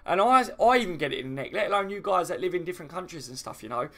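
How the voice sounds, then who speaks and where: A man's voice: high-pitched, lively intonation, racing, a bit bright. A man with a southern English accent hosting a football fan live stream, talking in an informal, matey, rambling way with casual opinions. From southern England.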